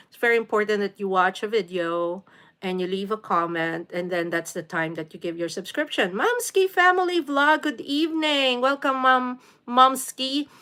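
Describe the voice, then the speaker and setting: A woman from the Philippines in her forties hosts a relaxed live stream from home. She chats easily with viewers and greets them by name. Her talk is loose and unscripted, full of small fillers. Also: medium pitch. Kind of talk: casual live stream chat reading viewer comments. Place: home in New York City.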